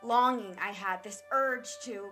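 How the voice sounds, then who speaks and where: A woman's voice: medium pitch, unhurried, a bit bright, faint music behind, natural voice. A woman playing a guilt-ridden, defensive massage therapist in a stage drama, pleading and confessional. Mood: guilty, confessing. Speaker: actor in a stage play reading.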